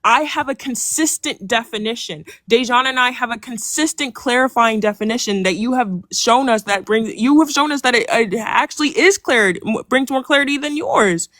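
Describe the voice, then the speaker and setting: A woman's voice: on the high side, normal speed, few pauses, a bit bright, dry recording. A woman in an online debate, probing with repeated questions and interrupting.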